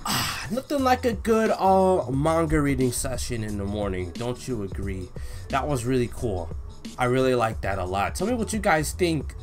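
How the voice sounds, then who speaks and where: Animated young man gushing over background music. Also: mid-range voice, moderate pace. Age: young adult. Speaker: reaction video host. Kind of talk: excited casual reaction commentary, reading manga aloud. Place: home room with bookshelf, indoors.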